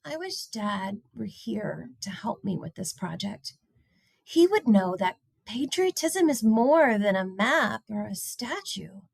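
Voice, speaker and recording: A woman's voice: mid-range voice, big pitch swings, takes it slowly, creaky, slightly rough, no echo, exaggerated voice. A woman voicing an unsure, wistful young schoolboy with a tentative, questioning manner in a storybook. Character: unsure, wistful young schoolboy who misses his dad.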